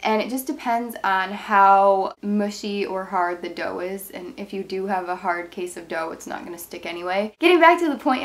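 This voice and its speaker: Brief: young woman, congested cold voice, chatty and rambling craft vlogger, camera mic in a bedroom. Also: mid-range voice. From Ohio, USA. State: stuffed up, nasal from a cold. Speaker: craft channel host. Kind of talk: casual product review vlog. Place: bedroom, indoors.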